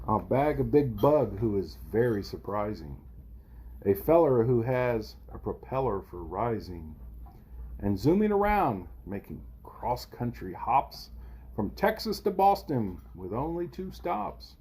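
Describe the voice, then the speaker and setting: A man reading a rhyming children's picture book aloud in an expressive, theatrical, playful way, as for a kindergarten class. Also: mid-range voice, husky. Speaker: storybook reader for kindergarten children.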